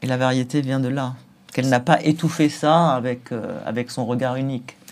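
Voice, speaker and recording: A man speaking as an interview guest on a talk show. His delivery is emphatic and forceful, and short laughs punctuate his points. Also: mid-range voice, steady tone, brisk. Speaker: guest, school figure.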